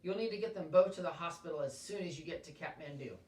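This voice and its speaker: A man's voice: on the high side, fast, close to an everyday voice. A man reading aloud voices a blunt, practical male mountain guide who speaks matter-of-factly. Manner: matter-of-fact. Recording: echoey room, voice from a distance.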